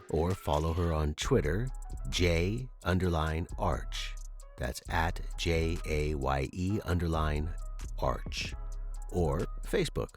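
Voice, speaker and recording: A man narrating as a story podcast host, with a measured, articulate delivery. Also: low-pitched. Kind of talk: scripted fiction narration and podcast outro.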